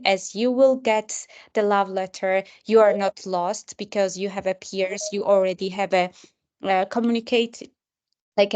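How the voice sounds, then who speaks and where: A woman hosts an online mentorship session at a slow, unhurried pace. She talks hesitantly and fills her pauses with many filler sounds as she addresses the participants. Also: mid-range voice, smooth.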